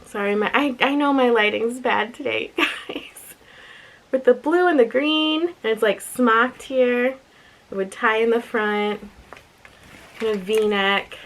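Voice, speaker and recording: A woman with a slightly raspy voice, chatting casually and thinking aloud as she presents an unboxing video with giggly asides. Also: on the high side, slow pace, low-quality recording. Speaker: unboxing and review vlogger.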